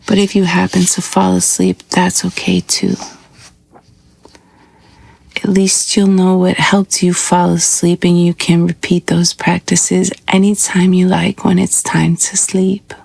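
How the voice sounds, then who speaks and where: A woman with a soft, breathy, husky voice guiding a scripted sleep meditation in a slow, soothing, hushed manner. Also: on the low side, little pitch movement. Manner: slow, soothing, hushed delivery with long pauses. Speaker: yoga nidra meditation guide.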